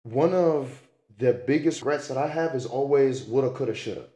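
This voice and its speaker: A man's voice: medium pitch, moderate pace, dark tone, echoey room. A young man telling stories in a casual, slangy way, leaning on fillers like "and whatnot". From raised in the Middle East and North Africa. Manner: laid-back slangy storytelling, frequent "and whatnot". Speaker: podcast guest. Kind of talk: casual conversation.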